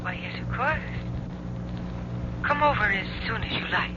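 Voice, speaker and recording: A woman's voice: on the high side, expressive, a little slow, over loud music. A woman playing a coy, cautious sweetheart in 1940s radio drama style. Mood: pleasantly surprised. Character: coy, cautious younger sweetheart of a married judge. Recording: dull, noisy old radio recording.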